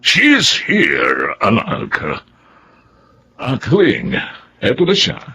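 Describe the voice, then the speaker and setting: An actor playing a patient, matter-of-fact middle-aged wealthy father in a radio drama, with a Filipino accent and a gravelly, husky voice. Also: mid-range voice, huge pitch swings, extremely slow, pushed and bright, full character voice. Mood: matter-of-fact, fatherly.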